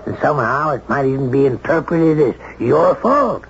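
An actor playing a brash, domineering old gentleman who needles and pries in a 1970s radio drama. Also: medium pitch, expressive, normal speed, gravelly, slightly rough. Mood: domineering, needling. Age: older. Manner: blunt, needling, insistent. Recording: muffled, noisy 1970s radio recording.

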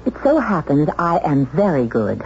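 An actress playing a timid, earnest, polite secretary in a 1970s radio drama. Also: female voice, deep voice, very animated, normal speed, a little mellow. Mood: guarded. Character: timid, proper, lonely long-serving office secretary. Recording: muffled, noisy 1970s radio recording.

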